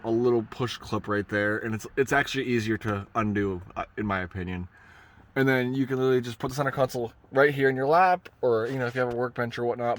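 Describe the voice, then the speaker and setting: A man gives a casual hands-on DIY truck tutorial in a halting, rambling way, often correcting himself as he goes. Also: medium pitch. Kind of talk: casual hands-on how-to talk. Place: inside a parked pickup truck cab.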